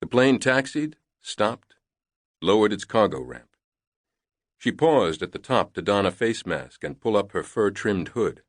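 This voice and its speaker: A man narrating a thriller novel in a measured, deliberate reading on a close studio mic. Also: lowish voice, sing-song, no room sound. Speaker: audiobook narrator.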